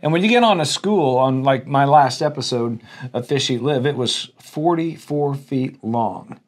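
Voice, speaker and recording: A man's voice: medium pitch, a little slow. A man in his forties, a guest angler who presents a fishing show, explaining things in casual interview chat. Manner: explanatory, conversational. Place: indoor office-like room. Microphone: clip-on wireless mic.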